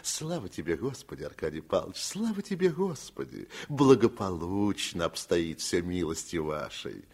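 A man voicing a gray-haired village steward, slightly drunk, gushing and flattering his master in a sing-song way. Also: sits low, moderate pace, creaky, hardly any pauses, slightly rough, exaggerated voice.

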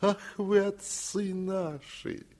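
A man voicing a gray-haired village steward, slightly drunk, gushing and flattering his master in a sing-song way. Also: high voice, takes it slowly, voice pushed up.

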